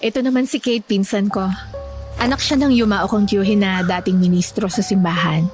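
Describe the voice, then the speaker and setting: Lady acting a sick trusting woman in a horror story, earnest, middle pitch, faint music. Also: steady tone, moderate pace, darkish tone, close to an everyday voice. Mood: hopeful.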